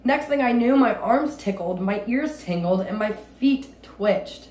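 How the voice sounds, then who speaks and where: A woman's voice: on the high side, animated, unhurried, a little mellow. Young woman reading a storybook, roomy sound. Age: young adult. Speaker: read-aloud storyteller. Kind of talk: expressive children's storybook reading. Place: small indoor room at home. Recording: roomy echo, light background noise.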